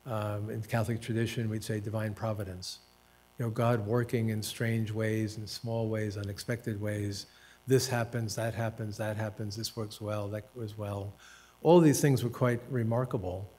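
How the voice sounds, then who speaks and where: Older man reflecting on his life, unhurried. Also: fairly low pitch, level tone, darkish tone. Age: 70s. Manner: unhurried, self-deprecating, with dry humor; hesitant fillers and restarts. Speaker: author and professor. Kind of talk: reflective personal storytelling at a panel talk.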